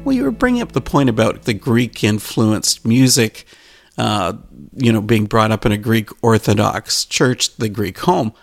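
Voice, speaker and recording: A man's voice: lowish voice, animated, normal speed, vocal fry, soft background music. A man hosting a podcast, asking interview questions in an easygoing, conversational way. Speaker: podcast host.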